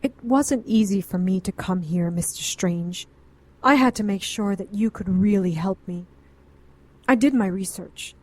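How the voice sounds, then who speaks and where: A woman's voice: mid-range voice, unhurried, darkish tone, close to an everyday voice. Audio drama: woman playing grieving, headstrong occult doctor, medium register, earnest, defiant, urgent. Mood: insistent, pleading. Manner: earnest, indignant, intense.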